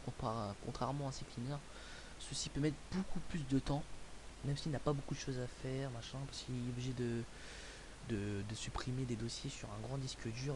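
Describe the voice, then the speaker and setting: A young man from France talking through a computer tutorial in a casual, rambling way, full of fillers and restarts. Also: mid-range voice. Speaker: tutorial maker. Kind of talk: casual screen-recorded tutorial. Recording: hissy home recording.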